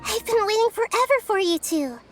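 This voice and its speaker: A woman voicing a small, girlish deity in a video game, a wise and gently playful teacher with a measured, explanatory way of talking. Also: very high-pitched, lively intonation, music in the background. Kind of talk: video game cutscene acting.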